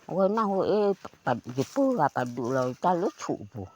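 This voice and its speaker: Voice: medium pitch, big pitch swings, extremely slow, background noise. A person telling a folk tale aloud in a conversational way. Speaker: folk storyteller.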